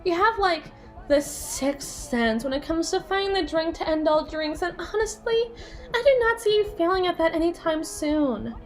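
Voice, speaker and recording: Woman voicing a flirty jealous yandere bestie for asmr roleplay, high voice that swings all over, sassy n teasing. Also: expressive, faint music behind. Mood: teasing, coaxing. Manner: teasing, sassy, theatrical, drawn-out emphasis. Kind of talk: ASMR roleplay monologue addressed to the listener.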